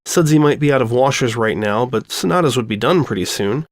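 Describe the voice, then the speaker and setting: A man's voice: medium pitch, expressive, fairly quick, no echo, clean studio sound. A man reading fan fiction as scripted story narration.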